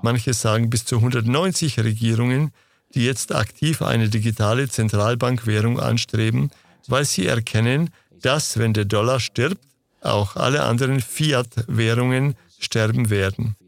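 A man answering interview questions in a steady, lecturing manner. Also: medium pitch, moderate pace, vocal fry, dry recording. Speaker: interview guest.

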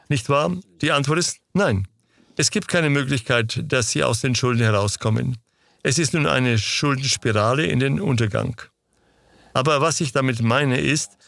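A man answering interview questions in a steady, lecturing manner. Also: mid-range voice, gravelly, no room sound. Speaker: interview guest.

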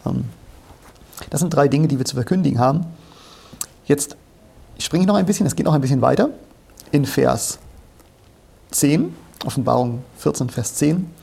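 A man with a mellow, husky voice explains scripture in conversation. He is didactic and conversational, with a creaky edge, and often poses a question before answering it. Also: mid-range voice, normal speed, pauses often. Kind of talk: conversational Bible exposition.

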